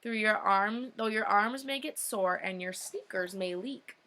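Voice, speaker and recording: A woman's voice: mid-range voice, unhurried, pushed and bright. A young woman, a teacher, reading a children's picture book aloud expressively, with short informal asides.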